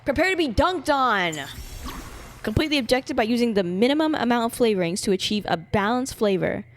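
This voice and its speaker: A woman's voice: fairly high pitch, lively intonation, a little fast, a little hiss or hum. A woman livestreaming a game with casual, playfully sarcastic, sweary commentary, reacting and chatting to viewers. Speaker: live streamer. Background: game music.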